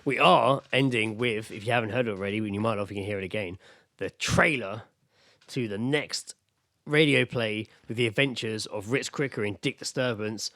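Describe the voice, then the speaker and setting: A man's voice: on the low side, moderate pace, fairly bright tone, no room sound. A man co-hosting a podcast in casual, sweary, bantering comedic chat. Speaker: podcast co-host.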